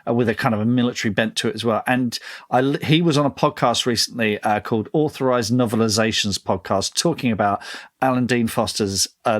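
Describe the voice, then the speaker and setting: A man's voice: medium pitch, fairly bright tone. A man chatting enthusiastically and casually as a podcast co-host.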